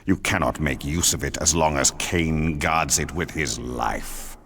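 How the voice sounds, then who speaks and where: A man playing a sinister, scheming dark being in a video game, menacing and patient, with ominous, formal, husky delivery. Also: very deep voice, a little slow, a little hiss or hum, full character voice. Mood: smug, taunting. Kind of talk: theatrical video game villain acting.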